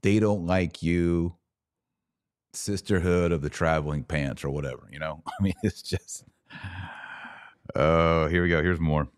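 A low-pitched American man hosts an opinionated commentary podcast. His delivery is emphatic and sarcastic, and he slips into mimicry of opponents. The sound is dry, with no room around the voice. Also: unhurried, long pauses, smooth, no room sound.

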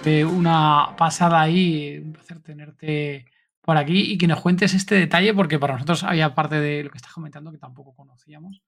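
Man, Spain accent, high voice, forceful bright tone, informal podcast chat, enthusiastic, faint music behind. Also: fairly even intonation, fairly quick, vocal fry. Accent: Spanish from Spain. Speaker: podcast host. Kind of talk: casual podcast announcements and chat.

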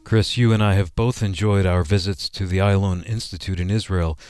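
Low-voiced male radio announcer. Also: fairly even intonation, moderate pace. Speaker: radio co-host and executive producer.

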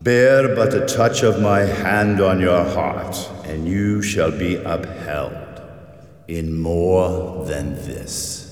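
An actor playing a solemn, ancient guiding spirit in a radio drama, measured and commanding. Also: male voice, low-pitched, a little hiss or hum. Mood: calmly commanding. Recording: echoing hall reverb, loud music behind.

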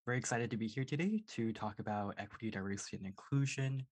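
A man's voice: fairly low pitch, quick pace, darkish tone. A young man from British Columbia, a university student, leading a podcast chat in an upbeat, friendly way. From British Columbia, Canada. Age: young adult. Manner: upbeat, friendly, leads the conversation. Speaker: undergraduate student co-host. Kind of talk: conversational podcast talk.

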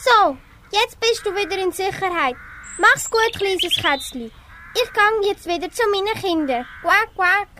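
A woman's voice: very high voice, expressive, unhurried. A young girl with a Swiss German accent playing a caring, quacking mother duck in an amateur school radio play. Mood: worried, then reassuring. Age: child or young teen. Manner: urgent, quacking. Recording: faint background noise.

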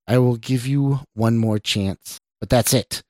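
A man voicing a stern, skeptical official in a suit with a measured, commanding manner in a story. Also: medium pitch, mellow, no echo, lightly acted. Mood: stern warning.

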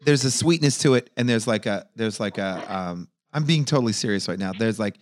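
A man's voice: fairly low pitch, animated, brisk. A man hosting a podcast over a video call, rambling and swearing a lot, and drunk by his own account. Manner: profane, rambling, tipsy. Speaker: podcast host. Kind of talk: casual chat.

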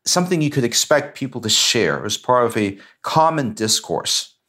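A man's voice: medium pitch, sing-song, normal speed, pushed and bright. A man co-hosting a podcast, explaining ideas in an articulate, lecture-like way. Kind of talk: measured explanatory talk.

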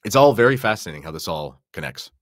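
Male podcast commentator, low register, enthusiastic explainer, dry recording. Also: lively intonation, fast, a bit bright, dry recording. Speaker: podcast guest or co-host commentator.

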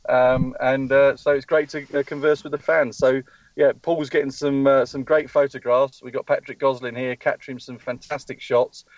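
A man's voice: medium pitch, little pitch movement, moderate pace, no echo, muffled recording. A man speaking as a motorsport team spokesperson in a live call-in interview, rambling with many ums and restarts, calling in from a race track. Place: at a race track testing session.

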